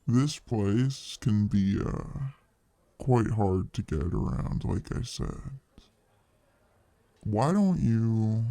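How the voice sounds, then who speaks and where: Man acting as friendly fantasy bartender, mellow, close dry sound, folksy and easygoing, ASMR roleplay. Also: lowish voice, creaky, no room sound, full character voice. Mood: welcoming, warm. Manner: laid-back, hesitant, folksy, chatty. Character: friendly easygoing male fantasy tavern bartender, protective of his community. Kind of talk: ASMR roleplay, casual improvised-sounding chat to the listener.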